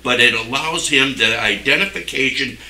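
Forceful older man in an echoey room. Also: mid-range voice, moderate pace. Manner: defensive, insistent. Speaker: town select board member. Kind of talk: argumentative speech at a public meeting. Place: wood-panelled municipal meeting room. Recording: echoey meeting room, distant microphone.

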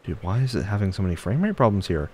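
A man's voice: fairly low pitch, quick pace, breathy edge. A man giving casual, critical commentary while streaming a video game. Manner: critical, complaining. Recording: steady background game noise. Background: video game sounds.

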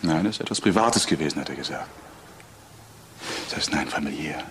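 Very low-voiced, courteous gentleman. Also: expressive, slight background noise. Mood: hesitant, grave. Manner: courteous, halting, careful wording. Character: polite, hesitant male department head, formal gentleman. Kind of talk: crime radio drama dialogue.

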